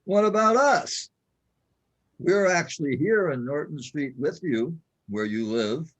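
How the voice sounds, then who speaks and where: A man playing a calm, patient guide in an audio drama, speaking in a husky, measured, reassuring way. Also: a little high, low-quality recording. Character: calm patient male guide to a confused newcomer.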